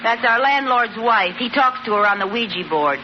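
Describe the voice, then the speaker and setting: An actress playing an eager, headstrong, scheming housewife in an old radio sitcom. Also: female voice, a little high, animated, normal speed, close to an everyday voice. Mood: curious. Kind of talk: 1940s-50s radio sitcom acting. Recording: dull, noisy radio recording with audience.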